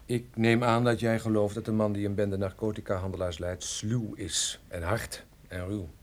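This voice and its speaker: An actor playing an evasive, defiant drug smuggler at gunpoint in a radio drama, guarded in manner. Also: male voice, lowish voice, fairly even intonation, moderate pace, close to an everyday voice. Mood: grudging, subdued.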